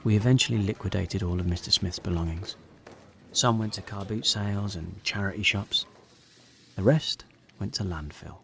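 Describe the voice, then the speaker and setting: A man's voice: low-pitched, moderate pace, creaky, a bit bright, a little hiss or hum. An actor playing a troubled, conscientious man stuck in a dead-end job, in an audio drama with first-person narration. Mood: subdued, reflective.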